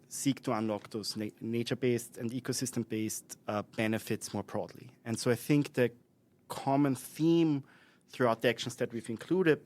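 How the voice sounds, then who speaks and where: A man with an Austrian accent talks as an invited expert in a relaxed interview. He speaks at a middling pitch and pace, pausing often with fillers and correcting himself as he works through his points. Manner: thoughtful, with many fillers and restarts. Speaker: guest expert. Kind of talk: conversational podcast interview.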